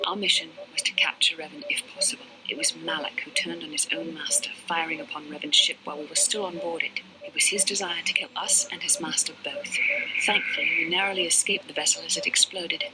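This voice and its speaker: A woman's voice: sits low, fairly even intonation, a little fast, background noise, natural voice. A woman playing a proud, earnest young Jedi with guarded feelings in a video game, speaking in a formal, lecturing way. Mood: grave, measured. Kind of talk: dramatic video game dialogue acting.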